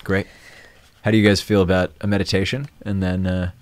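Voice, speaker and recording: A man's voice: sits low, level tone, brisk. A man hosting a live stream in casual, informal, unscripted chat. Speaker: livestream host.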